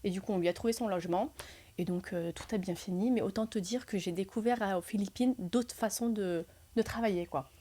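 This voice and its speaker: A young woman co-hosting a real estate podcast, casually telling a lively anecdote with frequent fillers and laughs. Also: medium pitch, brisk. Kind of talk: casual storytelling chat.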